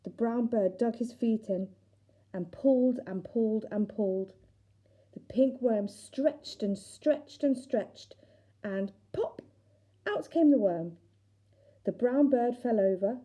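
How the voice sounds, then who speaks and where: A woman narrating a children's storybook in an expressive, deliberate storytelling manner. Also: a little high, dull audio. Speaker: teacher reading aloud.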